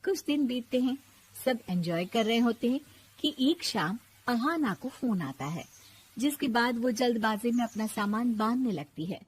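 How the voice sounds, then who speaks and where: Female narrator for scripted moral tales, voice on the high side. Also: lively intonation, normal speed, a little hiss or hum.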